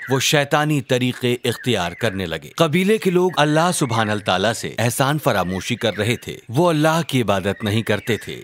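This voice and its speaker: Expressive male storyteller, lively intonation. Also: mid-range voice, slight background noise. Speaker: storyteller narrator. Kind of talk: scripted children's religious story narration.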